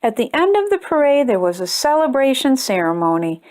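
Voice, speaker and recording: A woman with a fairly high voice reads a children's picture book aloud. She tells it expressively and deliberately, leaning on key phrases as a storytime host does. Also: very animated, darkish tone.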